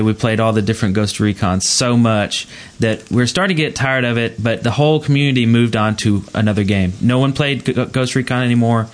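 A man talks in an ordinary mid-range voice. He hosts a gaming podcast and speaks in loose, casual chat. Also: medium pitch. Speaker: podcast host.

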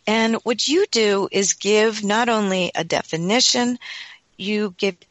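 A woman's voice: mid-range voice, unhurried, no room sound, rough recording. A woman hosting a radio talk show, speaking in an enthusiastic, earnest way.

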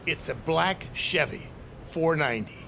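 An actor playing an arrogant, threatening journalist in an audio drama, caustic and haughty, with a gravelly voice. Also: male voice, on the high side, animated, unhurried, noisy background. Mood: irate.

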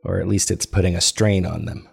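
A man playing a troubled podcast host and family man in an audio drama, confiding in a measured, reflective, gravelly voice. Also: sits low, fairly even intonation, heavily acted. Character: troubled podcast host, a married father searching for his parents. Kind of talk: confessional first-person audio drama narration.

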